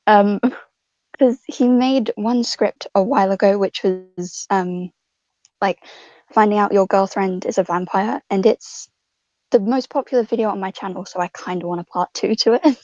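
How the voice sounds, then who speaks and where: A woman's voice: medium pitch, steady tone, moderate pace, darkish tone, dry recording, lo-fi sound. A woman chatting casually as a guest on a podcast. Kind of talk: casual chat.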